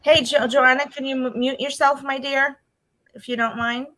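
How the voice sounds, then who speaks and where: A woman's voice: sits high, steady tone, moderate pace, bright, forceful tone. A woman hosting a casual video call chat, rambling and restarting her sentences. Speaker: host.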